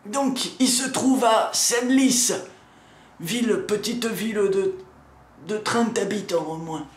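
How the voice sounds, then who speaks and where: A man's voice: high voice, takes it slowly, roomy sound. A man playing a grumpy, foul-mouthed old riddle-keeper from a lighthouse, grumbling and scolding the viewer through a sore throat. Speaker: channel host announcing meetups. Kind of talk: comic character sketch.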